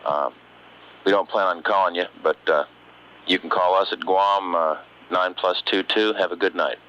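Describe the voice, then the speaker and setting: A man's voice: sits low, fairly even intonation, moderate pace, pushed and bright, clear, resonant tone. A man working as a mission control communicator, talking to a crew over a radio link. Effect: radio transmission. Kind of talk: air-to-ground radio exchange. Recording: dull radio link with faint hiss.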